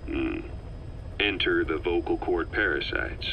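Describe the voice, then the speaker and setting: A man playing a gruff military interviewer in a video game, casual and probing yet sympathetic, on a lo-fi tape recording. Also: deep voice, unhurried. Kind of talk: game dialogue, questioning debrief. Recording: dull lo-fi tape, faint noise.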